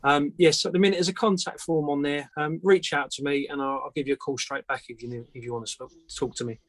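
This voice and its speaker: A man's voice: a little high, fairly even intonation, quick pace, dry recording. A man who makes antennas, answering questions casually as a guest in a plain-spoken, hesitant way. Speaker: antenna maker, guest speaker.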